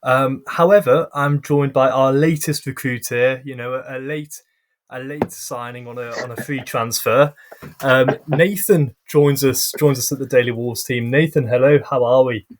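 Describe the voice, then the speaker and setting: A young man hosting a casual podcast interview, friendly and hesitant with frequent pauses and restarts. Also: medium pitch, fairly even intonation. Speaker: podcast host.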